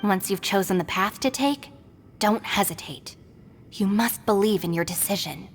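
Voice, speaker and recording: A woman playing a calm, solemn, encouraging adviser in a video game, earnest and gentle. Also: medium pitch, normal speed, soft background music, natural voice. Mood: solemn, reassuring.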